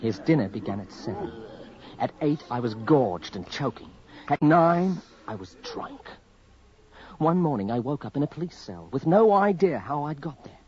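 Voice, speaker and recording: A man's voice: on the high side, big pitch swings, moderate pace, a little mellow. An actor playing a wretched, haunted young man in a radio horror drama, with an anguished, faltering delivery. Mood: haunted, despairing. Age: young adult. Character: tormented young man, haunted and wretched, narrating his downfall. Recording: dull, lo-fi vintage radio recording.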